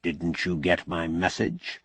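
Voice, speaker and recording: A man's voice: low voice, little pitch movement, takes it slowly, fairly bright tone, husky, no echo, exaggerated voice. A man voicing a blunt, folksy old man who bargains shrewdly and sardonically in an audiobook novel. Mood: firm, threatening. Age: older. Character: crusty, shrewd old man, bossy, wry negotiator.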